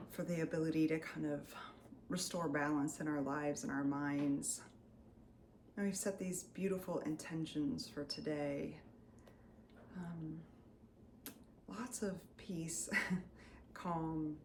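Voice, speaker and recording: A woman leading a guided meditation as a Reiki healer, soothing and unscripted, often drifting into breathy near-whispers. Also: deep voice, a little mellow. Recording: roomy echo, faint background noise.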